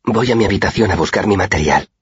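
A man voicing a wary, brisk young woman medic in audiobook dialogue, curt and practical, with muffled sound. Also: deep voice, expressive, breathy edge, dry recording, voice pushed up, full character voice.

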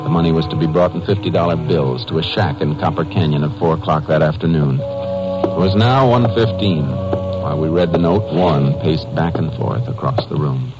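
A man's voice: rumbling low, moderate pace, loud music behind the voice. An actor playing a blunt, level-headed Texas Ranger who narrates his case in 1950s radio drama style. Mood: grave narration. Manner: blunt, authoritative, clipped. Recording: noisy old 1950s radio recording.

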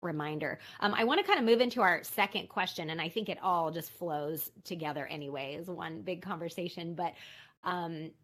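A woman interviewer asking halting questions and affirming her guest's answers. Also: on the low side, a bit bright. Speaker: podcast interviewer.

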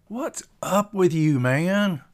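A man's voice: medium pitch, big pitch swings, moderate pace, a bit bright, voice pushed up. Man putting on a blunt, needling beer-drinking buddy. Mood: breezy. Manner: needling, colloquial. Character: breezy, teasing, blunt boat-owning buddy who swigs beer.

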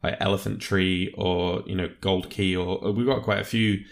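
Male co-host, deep voice, measured and casual, reviewing music. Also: fairly even intonation, vocal fry. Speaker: podcast co-host.

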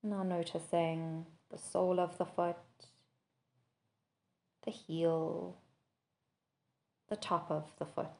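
A woman with a lowish voice guides a body scan meditation. She speaks very slowly and gently. Each measured instruction is followed by a long, unhurried pause. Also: level tone, gravelly. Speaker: podcast host and meditation teacher.

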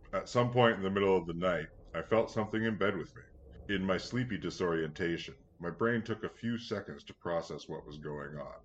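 A man reading a scripted first-person story in a measured, earnest manner. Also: sits low, a bit bright. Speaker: story reader. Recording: dull lo-fi sound, clear background noise.

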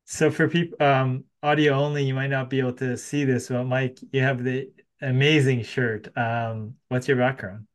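Guy hosting a podcast, medium pitch voice, casually asking a guest questions. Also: level tone, normal speed, hardly any pauses. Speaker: podcast host. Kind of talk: casual interview.

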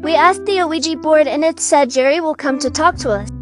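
A woman's voice: high-pitched, a little fast, fairly bright tone, soft background music, pitched above the performer's own voice. A young woman doing an eager, pushy little girl. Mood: coaxing. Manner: urgent, coaxing.

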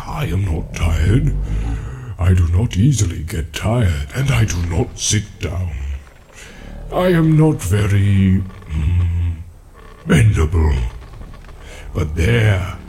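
A man voicing an ancient, slow male tree-giant with a deep rumbling voice, musing and humming between his words. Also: sing-song, mellow, slight background noise, full character voice. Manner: slow, musing, full of hums and pauses. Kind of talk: audiobook character reading.

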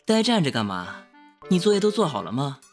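Cool, needling high-school boy for audio drama: lightish high register, expressive swings, mocking, faint music underneath. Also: a little fast. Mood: cool, curt. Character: cool, teasing, sharp-tongued male high-school student. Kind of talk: modern audio drama acting.

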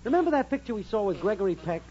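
An actor playing a cocky, slangy young boyfriend and schemer in a 1940s radio comedy. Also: male voice, high-pitched, very animated, very fast, warm tone. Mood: scheming. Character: brash wisecracking young man, slangy big-city schemer boyfriend. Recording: dull, hissy old radio recording.

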